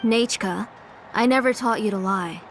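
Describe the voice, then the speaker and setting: A woman playing a gentle but firm, protective mother in a video game, speaking politely and measuredly. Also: a little high, normal speed, a little hiss or hum, lightly acted. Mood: firm concern. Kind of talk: video game character acting.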